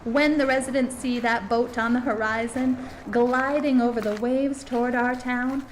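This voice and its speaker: A woman's voice: fairly high pitch, steady tone, normal speed, slight background noise, natural voice. A woman playing an upbeat small-town tourism host, earnest, polite and gushing as she narrates and interviews. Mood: earnest, promotional. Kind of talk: scripted promotional narration and interview.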